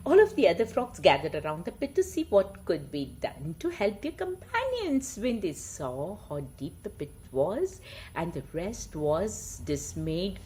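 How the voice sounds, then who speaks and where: A woman with an Indian accent, sharing moral stories in an informal, animated way. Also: fairly low pitch, a little hiss or hum, rough recording. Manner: chuckling, animated, informal asides. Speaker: storyteller and host. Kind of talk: casual chat mixed with read-aloud moral tales.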